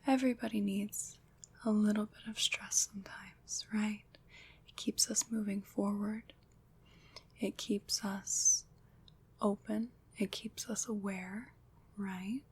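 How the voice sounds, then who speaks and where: A woman playing a gentle, protective witch in an ASMR roleplay, whispering in a soft, reassuring, measured way. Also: mid-range voice, heavily acted. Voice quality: breathy, unvoiced whisper. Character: gentle, protective witch soothing away nightmares.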